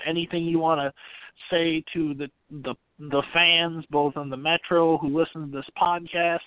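A man's voice: on the high side, smooth, no echo. A man hosting a casual sports podcast, talking conversationally. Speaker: podcast host. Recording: dry, narrow phone-like sound.

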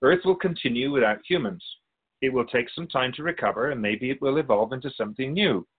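A man playing a wise, wry otherworldly spirit guide in a radio drama interview, measured and philosophical. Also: mid-range voice, animated, slightly rough, narrow, tinny audio. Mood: grave. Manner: measured, philosophical, gently admonishing.

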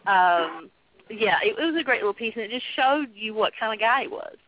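A woman co-hosting a wrestling talk show, playful and quick to laugh as she chimes in. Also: a little high, expressive. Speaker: podcast co-host. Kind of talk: casual radio-show banter. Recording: tinny phone-like line.

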